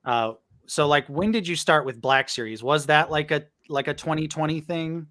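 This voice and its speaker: A man in his twenties with a fairly high, bright, forward voice. He co-hosts a casual chat in an easygoing way and laughs often. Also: normal speed. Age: 20s. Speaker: co-host.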